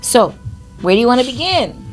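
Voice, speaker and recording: A woman's voice: a little high, sing-song, a little fast, faint music behind, rough recording. A woman from Guyana with a Guyanese accent, co-hosting a podcast in casual chat. She laughs often and restarts her phrases. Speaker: podcast co-host.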